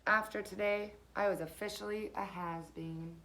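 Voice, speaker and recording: A woman's voice: medium pitch, steady tone, moderate pace, fairly bright tone, a little hiss or hum. A woman reading a children's picture book aloud as a teacher would, expressively and with dramatic emphasis.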